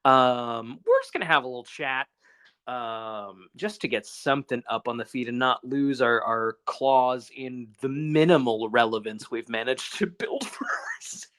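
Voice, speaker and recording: A man's voice: mid-range voice, lively intonation, unhurried, fairly bright tone. A man co-hosting a casual podcast over a phone call, talking in a rambling, casually profane way. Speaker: podcast co-host.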